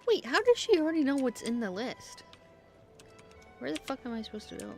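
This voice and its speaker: A woman's voice: high-pitched, sing-song, fairly quick. A woman streaming a game, muttering blunt, slangy commentary to herself. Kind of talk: casual gameplay commentary. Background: faint game music, keyboard clicks.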